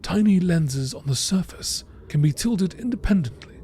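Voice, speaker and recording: Mellow, high-voiced man narrating like a documentary. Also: lively intonation, moderate pace, slight background noise. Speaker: narrator reading game lore entries. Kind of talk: nature documentary style scripted narration.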